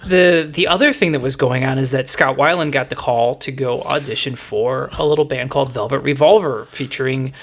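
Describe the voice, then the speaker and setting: A man's voice: medium pitch, brisk, vocal fry, hardly any pauses. A man hosting a music podcast, chatting casually in an enthusiastic, opinionated way. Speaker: podcast host.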